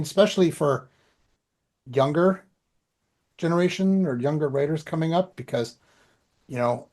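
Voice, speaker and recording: Man, middle-aged, mid-pitched voice, podcast co-host, informal, plain-spoken, anecdotal. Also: darkish tone. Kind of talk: casual podcast chat about writing.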